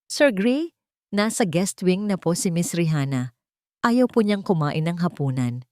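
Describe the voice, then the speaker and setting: A woman's voice: fairly low pitch, expressive, a little slow, darkish tone, no room sound, studio quality. A woman voicing a deferential household servant, polite and respectful, in a romance audio story. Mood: respectful concern.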